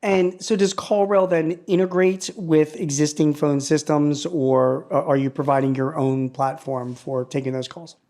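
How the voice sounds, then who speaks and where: A man hosting a business podcast, asking questions in a halting way with many restarts. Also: a little high, little pitch movement, darkish tone. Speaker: podcast host. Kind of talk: interview questions.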